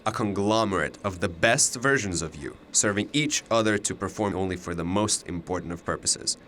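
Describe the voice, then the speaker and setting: A man's voice: deep voice, moderate pace, fairly bright tone, a little hiss or hum. A man playing a cocky, chatty young double who knows it all, with a glib, teasing way of talking in an audio drama. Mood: breezy, knowing. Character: cocky, chatty, all-knowing alternate-universe male double of a student.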